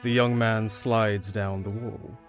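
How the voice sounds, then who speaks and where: A man's voice: lowish voice, little pitch movement, slow, faint music behind. A man reading scripted narration for an audio drama.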